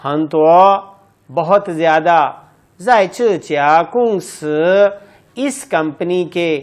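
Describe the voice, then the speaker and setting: A man teaching a language course, slowly reading each phrase in English, then Chinese, then Urdu. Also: on the high side. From Pakistan. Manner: very slow and deliberate reading.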